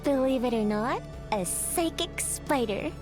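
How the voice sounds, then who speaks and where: A woman's voice: high-pitched, animated, a little slow, music in the background. An actress playing a cheeky, sceptical young female mech pilot in a video game, with a sassy, teasing manner. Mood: smug, teasing.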